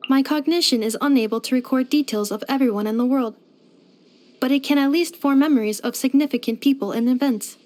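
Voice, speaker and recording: A woman's voice: high voice, brisk, a little mellow, lightly acted. A woman voicing a polite, helpful robot maid companion in a video game, speaking in a formal, precise, earnest way. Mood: earnest, curious. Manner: formal, precise, clipped system-report phrasing. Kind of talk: scripted video game character voice lines.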